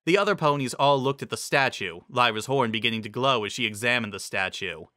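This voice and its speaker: A man's voice: medium pitch, a little fast, bright, forceful tone, no echo, studio quality. A man giving a dramatic scripted narration of a story.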